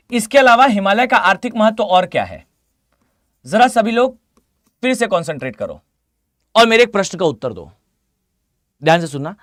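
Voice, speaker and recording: A hoarse man with an Indian accent teaching a live online class, often asking students to reply. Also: sits high, a bit bright, no echo, clean studio sound. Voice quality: mixes Hindi with English phrases. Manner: addresses students directly, asks them to reply.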